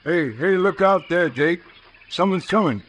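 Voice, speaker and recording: An actor playing a nervous, obedient cowhand, in 1950s radio western style. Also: male voice, sits high, lively intonation, unhurried, fairly bright tone, full character voice. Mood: edgy, obedient. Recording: noisy old 1950s radio recording.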